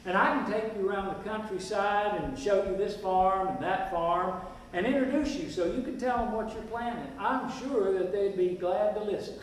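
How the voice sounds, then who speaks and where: Man playing high-voiced rural community leader, echoing hall. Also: normal speed, lightly acted. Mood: courteous. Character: Mister Scroggs, courteous plainspoken rural community leader of the 1920s. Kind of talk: amateur stage play, speechmaking. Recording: distant echoey hall recording, background noise.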